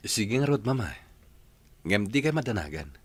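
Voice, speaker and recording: Dutiful son and husband, agreeable, lowish voice, earnest radio drama dialogue. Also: male voice, very animated, normal speed, husky. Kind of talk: Ilocano radio drama acting.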